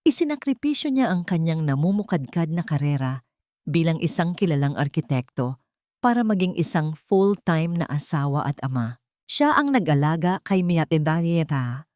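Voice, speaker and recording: Brief: woman storyteller, lower voice, dry close sound, measured and dramatic. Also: big pitch swings, a little mellow, no echo. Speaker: narrator. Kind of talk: scripted romance story narration.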